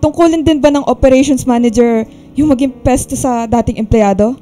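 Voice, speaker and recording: A woman's voice: high voice, normal speed, dark tone, faint music behind, lightly acted. An actress playing a burned-out woman in her twenties, polite but feisty when provoked, in a Filipino radio drama. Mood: irritated, defiant.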